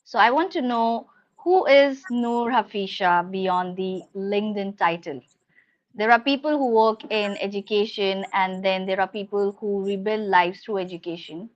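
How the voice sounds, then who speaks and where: A woman's voice: medium pitch, unhurried. A woman hosting an interview, formal and effusive with her guest. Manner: effusive, formal, says ma'am. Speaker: interview host. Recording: dull lo-fi audio.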